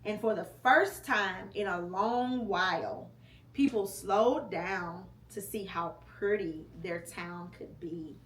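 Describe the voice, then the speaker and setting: A mother reading a picture book aloud to her child at home, slow and expressive with pauses between phrases. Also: medium pitch. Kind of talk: storybook read-aloud. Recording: echoey room with faint hum.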